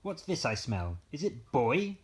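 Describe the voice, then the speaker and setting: A man voicing a huge, hungry man-eating giant, booming and commanding, in a bedtime story. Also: very animated, a little slow. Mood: hungry, demanding.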